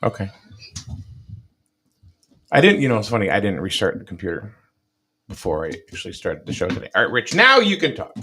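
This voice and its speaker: A man with a fairly low voice hosts a casual live chat show. His talk is informal and conversational. There is background noise and the odd click on the recording. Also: sing-song, a little fast, long pauses, pushed and bright. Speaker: show host.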